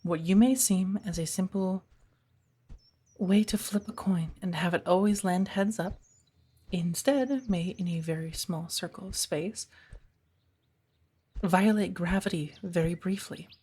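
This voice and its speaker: A woman playing a stern, patient witch who teaches an apprentice in a soft, breathy ASMR roleplay, speaking in a measured, formal, commanding way. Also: lowish voice. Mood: measured, instructive. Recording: birdsong behind the voice. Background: birdsong, woodland ambience.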